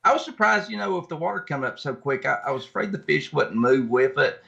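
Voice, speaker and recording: Gravelly American man, slightly muffled. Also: fairly high pitch, animated, fast, sharp tone. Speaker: podcast guest, kayak angler. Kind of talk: casual chat. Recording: slightly dull, muffled audio.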